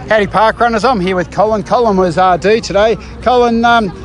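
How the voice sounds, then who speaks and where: A man's voice: high voice, a faint crowd. A man from Victoria, doing upbeat, joking roving interviews outdoors at a running event. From Victoria, Australia. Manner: upbeat, chatty, quick to joke. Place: outdoors at a park run event.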